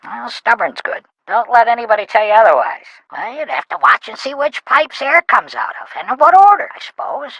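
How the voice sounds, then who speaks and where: A woman playing a gruff, folksy carousel operator in a video game, talking in blunt slang. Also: on the high side, sing-song, a little fast, hardly any pauses, fairly bright tone, no echo, highs cut off, full character voice. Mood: folksy, casual. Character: gruff, folksy, brusque middle-aged woman carousel operator who loves fishing.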